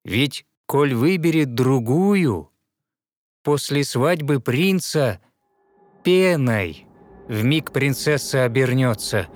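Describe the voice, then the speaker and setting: A man narrating a fairy tale in verse, with a lyrical, rhythmic and expressive scripted delivery. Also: mid-range voice, takes it slowly.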